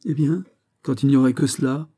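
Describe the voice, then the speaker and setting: A man's voice: medium pitch, a little fast, warm tone, voice pushed up, natural voice. A man playing an anguished young nobleman in a historical audiobook, earnest, polite and melodramatic as he pleads. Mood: pleading. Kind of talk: dramatised audiobook of a historical novel.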